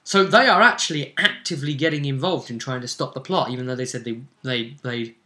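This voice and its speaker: Bright-voiced man retelling a game session. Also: mid-range voice, a little fast. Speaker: game master and video host. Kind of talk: casual chat recapping a tabletop role-playing game session.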